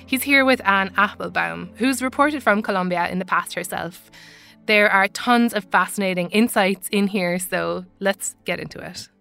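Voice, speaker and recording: A woman with an Irish accent, hosting and narrating a podcast intro in an earnest, measured way. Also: mid-range voice, vocal fry, bright, forceful tone, clear, resonant tone, soft background music. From Ireland. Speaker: podcast host. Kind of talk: scripted narration.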